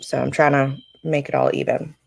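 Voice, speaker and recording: A woman with a scratchy voice hosting a live stream, giving a casual spiritual card reading in an informal, halting, explanatory way. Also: extremely low pitch, fairly even intonation, gravelly. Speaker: live-stream oracle card reader and host. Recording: music playing during a live stream.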